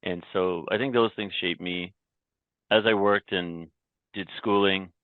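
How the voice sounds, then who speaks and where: A very low-pitched man speaks as a podcast guest, recounting his own story in a reflective, halting way with frequent restarts. His voice comes through a thin, phone-like remote line with a dry, close sound. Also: fairly even intonation, no echo. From Canada. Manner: reflective, many restarts and repeated words. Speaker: podcast guest, company founder. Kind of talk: casual interview talk.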